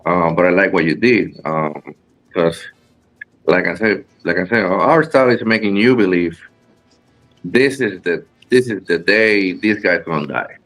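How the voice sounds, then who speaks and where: A man from Rico, a guest wrestler chatting in an interview, halting with many fillers and restarts. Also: deep voice, fairly bright tone. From Puerto Rico. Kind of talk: casual interview chat.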